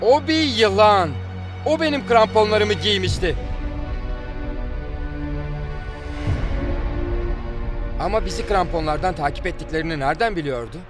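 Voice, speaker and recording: A man's voice: sits high, lively intonation, fairly quick. A man voicing an indignant, earnest young footballer in a cartoon dub, protesting and exclaiming. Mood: aggrieved. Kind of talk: animated cartoon dub acting. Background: music.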